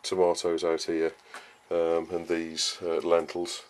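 A man giving a casual, explanatory gardening talk in a halting, unscripted way, as a vlogger would. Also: low-pitched, completely flat delivery. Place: outdoor vegetable garden and greenhouse. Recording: clearly noisy outdoor ambience, uneven distance.

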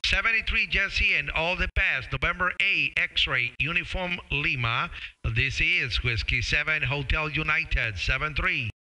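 A middle-aged man chats casually as a ham radio operator on a live stream, friendly and halting, into a close studio microphone. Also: fairly high pitch, pushed and bright, poor audio. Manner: friendly, halting, calls listeners brother. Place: small home radio room. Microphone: boom-arm studio microphone with headset.

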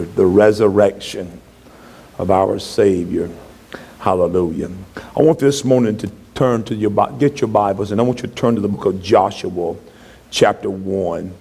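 A middle-aged man preaching a sermon from a church stage, his voice hoarse and partly gone. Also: low-pitched. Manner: fervent, rising to shouts, gesturing widely. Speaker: pastor. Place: church stage, large hall.

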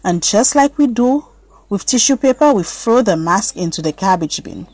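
A woman's voice: medium pitch, animated, normal speed, a little mellow, husky, no room sound. A woman voicing a patient, instructive mother in a children's story, explaining step by step.

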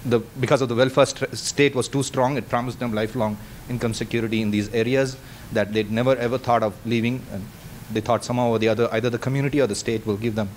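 A man raising a question in a conference room. He sounds worried and searching, stumbling and restarting as he goes. A faint rumble of noise sits under his voice. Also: fairly even intonation, fast. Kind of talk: question from the floor.

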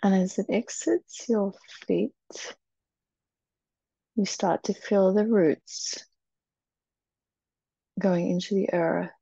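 A woman leading a guided meditation in a soft, breathy, soothing voice, with short phrases and long pauses. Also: medium pitch, steady tone, dry recording. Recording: dull, muffled, dry recording.

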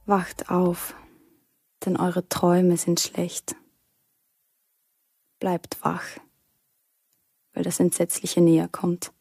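A woman reciting a warning poem in a radio play, grave and solemn, with a husky voice. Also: fairly low pitch, completely flat delivery, a little mellow, dry recording, exaggerated voice. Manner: solemn, measured.

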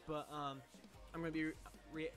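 Slightly hoarse young man, chatty. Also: a little high, level tone, normal speed, a bit bright, soft background music. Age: young adult. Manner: chatty, rambling, with restarts. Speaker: music reaction YouTuber. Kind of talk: casual reaction commentary. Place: small bedroom. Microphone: headset.

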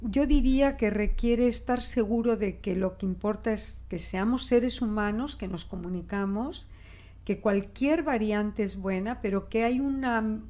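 An older woman from Spain with a Spanish accent softened by Mexican Spanish, a linguist talking expressively in a studio interview at a desk microphone. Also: mid-range voice. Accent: Spanish from Spain, adapted toward Mexican Spanish. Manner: expressive, reflective, with frequent restarts and gestures. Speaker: guest linguist. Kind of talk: conversational interview. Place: small studio office, indoors.

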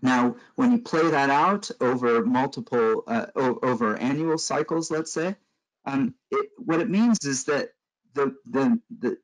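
A middle-aged American man from Los Angeles lecturing at a conference over slides. His voice sits at an ordinary middle pitch, and he explains step by step in a measured way, with plenty of ums and uhs. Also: rough recording. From Los Angeles, California. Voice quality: measured, explanatory, frequent um and uh fillers.

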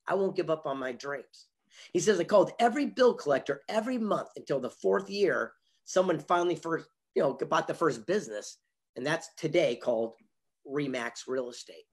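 A husky man in his fifties speaks as a motivational guest on an online interview. His voice sits a bit high for a man, and he tells anecdotes with emphasis, playing out both sides of the dialogue. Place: indoor home office with memorabilia wall. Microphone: video call, computer mic.

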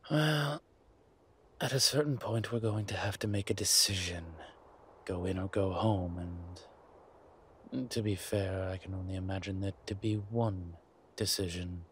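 A man playing a centuries-old vampire in a roleplay audio drama, suave, wry and protective, speaking in a measured, theatrical way with deliberate pauses. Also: deep voice, steady tone. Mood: grave, reluctant. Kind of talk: scripted roleplay audio drama addressed to the listener. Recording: clear background ambience noise.

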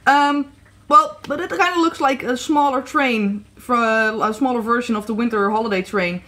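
A woman's voice: fairly high pitch, moderate pace, sharp tone. A woman from the Netherlands chatting casually through a toy review, hesitant with many fillers and restarts. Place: indoor room at a tabletop set.

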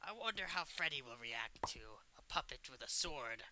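A man's voice: fairly high pitch, big pitch swings, moderate pace, pushed and bright, slight background noise, heavily acted, poor audio. A man playing a mischievous evil puppet in improvised game roleplay, talking like a playful, theatrical villain. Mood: scheming.

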